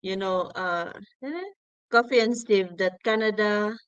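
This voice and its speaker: A woman's voice: medium pitch, a little slow, clean tone, no room sound, lo-fi sound. A woman from the Philippines with a Filipino accent, speaking English as a second language, chatting and explaining as co-host of a casual livestream.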